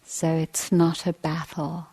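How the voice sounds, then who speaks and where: A woman meditation teacher giving a guided dharma talk, gently and in measured short phrases with long pauses. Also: low voice, flat delivery, husky, poor audio.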